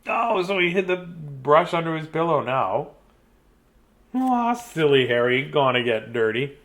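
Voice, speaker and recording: Animated man reading bedtime stories, highish voice. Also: a little slow. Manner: playful, childlike, chatty. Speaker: children's storyteller. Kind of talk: animated bedtime picture-book reading with casual asides. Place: small decorated room indoors.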